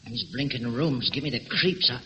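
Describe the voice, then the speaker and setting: An actor playing a nervous, superstitious Cockney sidekick, slangy and exclaiming, in an old-time radio drama. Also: male voice, medium pitch, expressive, moderate pace, sharp tone, big-room sound, slight background noise. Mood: frightened. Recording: dull vintage radio recording.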